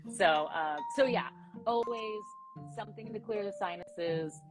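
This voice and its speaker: A young woman with a raspy voice, chatting casually as an actress guest in an interview and filling her talk with "like". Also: mid-range voice, a little slow, music in the background. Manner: chatty, many "like" fillers.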